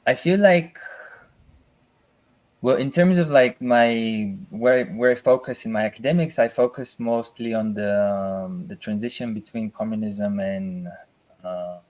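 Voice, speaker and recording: Guest: man, low voice, thinks aloud with many pauses, video-call sound. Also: a little mellow, low-quality recording. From Bulgaria. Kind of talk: casual interview. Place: home in a mountain forest.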